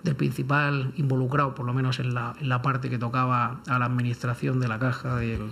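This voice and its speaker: A man's voice: mid-range voice, little pitch movement, brisk, vocal fry, a bit bright. A man speaking as a lawyer on a discussion panel, in careful, formal phrases with frequent hesitations. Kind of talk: unscripted legal commentary in a round-table debate.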